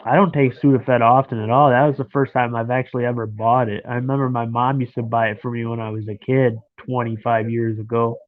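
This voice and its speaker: A man's voice: medium pitch, flat delivery, fairly quick, hardly any pauses, no echo. A stuffed-up man in his thirties rambles casually to his live stream viewers from bed. His voice comes through a thin, phone-like line. The sound is dry and close, with no room around it. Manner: rambling, chatty, talks to viewers by name. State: stuffed-up nose. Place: in bed at home.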